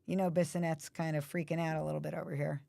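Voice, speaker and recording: A woman with a raspy, allergy-hoarse voice chatting casually while watching hockey at home. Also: low-pitched, level tone, quick pace, background noise. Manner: chatty, asks many questions. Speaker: livestream host.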